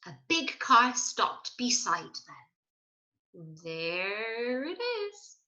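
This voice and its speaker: A woman's voice: on the high side, pushed and bright, lo-fi sound. A woman teacher reading a children's story aloud expressively and chatting to young pupils with questions and asides.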